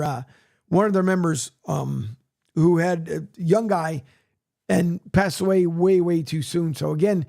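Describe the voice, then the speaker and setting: A man hosting a sports radio show, rambling casually with many fillers, his voice raspy from a long day. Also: high voice, warm tone. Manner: rambling, many fillers, plugs and reads news aloud. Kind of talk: casual solo sports talk, filling time.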